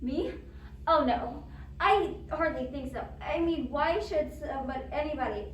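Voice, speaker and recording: Woman acting high-voiced, fretful invalid wife in echoey room. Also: normal speed, natural voice. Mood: anxious, fretful. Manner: agitated, stammering, insistent. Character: anxious, demanding bedridden invalid wife, fretful and self-absorbed. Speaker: amateur drama club actor. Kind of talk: stage adaptation of a radio suspense play. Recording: roomy echo, distant mic, background noise.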